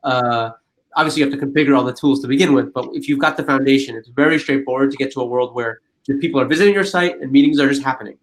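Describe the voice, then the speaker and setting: A man's voice: medium pitch, rapid-fire, rough recording. A man hosting a webinar in a conversational, informal way, restating his points for emphasis. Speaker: webinar host and moderator.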